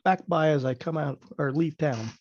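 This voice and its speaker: Higher-pitched male gamer, slightly muffled. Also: moderate pace, darkish tone, dry recording. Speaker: player in tabletop role-playing game. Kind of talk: casual in-character game talk.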